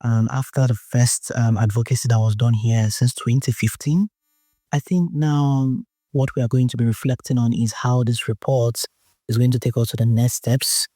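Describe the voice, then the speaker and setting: A man's voice: medium pitch, fairly even intonation, moderate pace, dark tone, no echo, studio quality. A man with a Nigerian accent speaking as an activist in a panel discussion. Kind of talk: spoken discussion.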